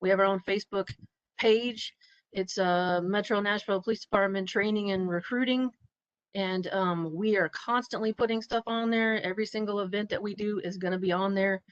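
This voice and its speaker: A woman police recruiter giving helpful, brisk information at a virtual meeting. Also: medium pitch, steady tone, no room sound. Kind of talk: informative answer. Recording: dry, muffled call audio.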